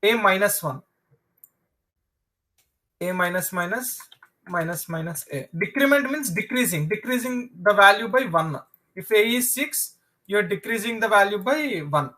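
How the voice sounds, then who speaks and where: A man with a high-pitched, bright, forceful voice and a South Indian accent, Telugu being his mother tongue. He teaches programming live online in an informal way. His explanations come haltingly, and he often circles back to repeat a point. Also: animated. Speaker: programming instructor.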